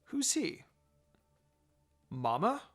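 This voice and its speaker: Man putting on an excitable little girl, pitched up high. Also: drawn-out words, faint music behind, heavily acted. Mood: eager. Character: young girl, cheerful, shy, excitable child.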